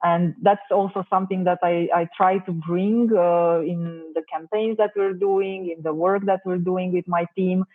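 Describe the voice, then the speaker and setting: A woman's voice: on the low side, fairly even intonation, moderate pace, few pauses. A woman from Romania, a native Romanian speaker, answering interview questions thoughtfully with many hesitations. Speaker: marketing director, guest. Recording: tinny phone-like call audio.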